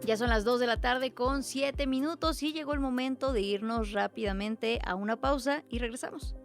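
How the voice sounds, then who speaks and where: Female radio anchor, Mexican accent, slightly high voice, measured and clear, faint music bed. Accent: Mexican Spanish. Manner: clear, measured presenter delivery. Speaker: news anchor. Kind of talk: radio news presenting.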